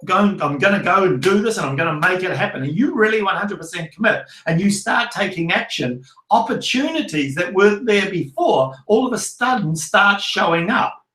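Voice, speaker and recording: A man's voice: sits high, expressive, normal speed, few pauses, pushed and bright, echoey room, poor audio. A middle-aged man with a New Zealand accent, giving a loose, rambling masterclass talk as a prosperity coach, full of restarts and fillers.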